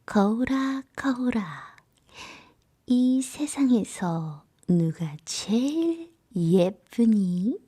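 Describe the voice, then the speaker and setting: A woman voicing a vain, wicked storybook queen with slow, drawn-out, breathy questions. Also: on the high side, animated, warm tone, heavily acted. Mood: vain, coaxing. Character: vain wicked queen, a witch, questioning her magic mirror.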